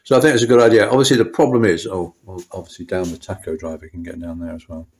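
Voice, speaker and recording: A man's voice: deep voice, fairly even intonation, fast. A middle-aged man, a motorcycle mechanic, talking through a job in a small workshop, unscripted with lots of restarts and fillers. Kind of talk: workshop walkthrough talk.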